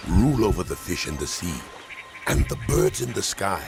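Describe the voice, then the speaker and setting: A man's voice: deep voice, lively intonation, moderate pace, creaky, over music. A man playing God as a solemn, commanding deity in a dramatized scripture reading. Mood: solemn, majestic. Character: God creating the world, commanding, majestic male deity.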